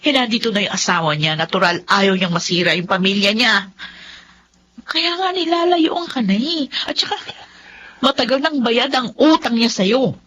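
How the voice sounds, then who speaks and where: A woman's voice: fairly high pitch, lively intonation, moderate pace, a bit bright. A woman playing a frank, nosy and protective friend in a Filipino radio drama. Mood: scolding. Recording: muffled, faintly noisy audio.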